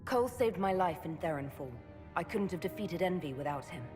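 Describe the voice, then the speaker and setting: An actress playing a resolute, diplomatic leader in a fantasy game cutscene, composed, earnest and decisive. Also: female voice, on the low side, lively intonation, a little fast, a little mellow, close to an everyday voice. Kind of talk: video game cutscene acting. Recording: game music behind the voice.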